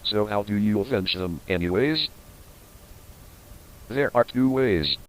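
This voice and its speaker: A man with a fairly low voice reads scripted narration for a mock instructional horror tape. His delivery is formal and explanatory, setting out each point like a guide. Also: expressive, measured pace, low-quality recording.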